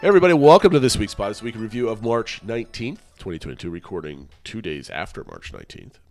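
A man's voice: fairly low pitch, fast talker, faint music behind. Congested man with a head cold. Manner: lively, upbeat greetings. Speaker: podcast co-host. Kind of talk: casual podcast chat. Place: small room at home. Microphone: headphones and desk mic on a video call.

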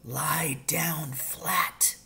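Man acting daring poacher father, whispery and breathy. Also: on the high side, steady tone, extremely slow, pushed and bright, pitched above the performer's own voice, exaggerated voice. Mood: hushed, urgent. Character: daring father, a thrilled poacher, hushed and commanding.

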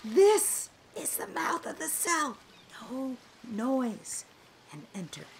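A woman's voice: sits high, sing-song, slow pace, vocal fry, exaggerated voice. Woman as a fawning island monster, noisy recording. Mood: urgent coaxing. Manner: hushed, urgent pleading. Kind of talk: Shakespearean radio play acting.

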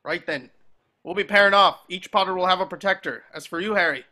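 A man playing a gruff, impatient old wizard war veteran who barks curt orders in a table read over a video call. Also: high voice, brisk, sharp tone. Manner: barking, commanding, curt.

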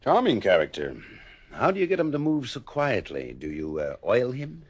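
An actor playing a wisecracking private detective, with a dry, sardonic way of talking, in old-style radio drama acting. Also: male voice, medium pitch, wildly swooping pitch, moderate pace, husky. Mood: probing.

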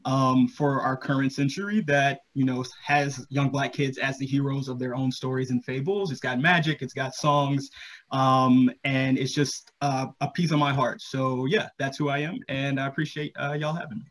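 A man's voice: mid-range voice, flat delivery, moderate pace, fairly bright tone. An American man in his thirties from North Carolina, talking casually as a guest on a video-call panel. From Charlotte, North Carolina, US. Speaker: podcast creator and guest panelist. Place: home room, indoors, video call.

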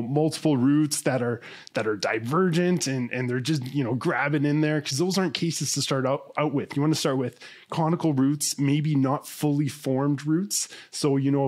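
A man in his thirties, a dentist as a podcast guest, talking earnestly and energetically and repeating words as he builds each point, into a boom-arm studio mic indoors. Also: a little mellow. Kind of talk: conversational interview. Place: indoor studio, remote call.

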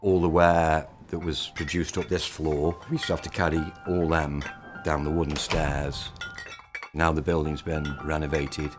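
A man's voice: very deep voice, level tone, measured pace. A middle-aged man, a factory worker recalling his years there in plain, homely words. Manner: plain-spoken, homely turns of phrase. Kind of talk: casual interview recollection. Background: music.

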